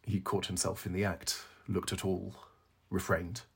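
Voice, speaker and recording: Man, literary audiobook narration, expressive, low husky voice. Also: measured pace. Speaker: reader of a literary novel.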